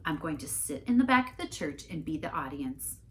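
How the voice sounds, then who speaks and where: A woman's voice: low-pitched, sing-song, a little fast, fairly bright tone. Woman playing a harried, insistent storybook mother. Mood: reassuring, instructing. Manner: instructing, insistent. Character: harried, earnest mother directing a children's pageant.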